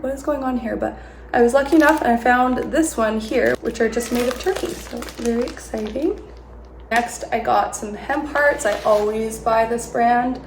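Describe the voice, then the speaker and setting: A woman's voice: a little high, gravelly, echoey room, slight background noise, poor audio. A young woman vlogging at home in a casual, chatty way, still congested from a cold. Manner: chatty, friendly, talking to viewers. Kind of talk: casual haul vlog talk.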